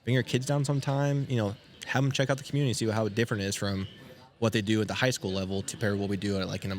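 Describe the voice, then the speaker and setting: A man with a fairly low voice talks quickly and rambles, full of fillers and restarts. He comes across as an enthusiastic guest in a casual chat. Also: steady tone. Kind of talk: casual interview.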